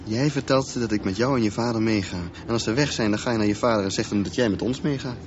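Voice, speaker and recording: A man's voice: fairly low pitch, fast, lightly acted. Man playing an intense teenage boy, muffled noisy recording. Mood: intense, insistent. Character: intense, brooding teenage boy swimmer with deformed leg. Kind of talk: radio drama acting. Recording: dull, noisy radio play recording.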